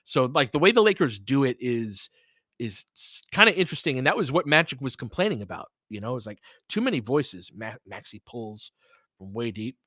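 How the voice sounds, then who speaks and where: A man's voice: mid-range voice, very animated, brisk, fairly bright tone, dry recording, clean studio sound. A man hosting casual game commentary, chatting candidly and rambling through stories and asides. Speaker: host doing audio play-by-play.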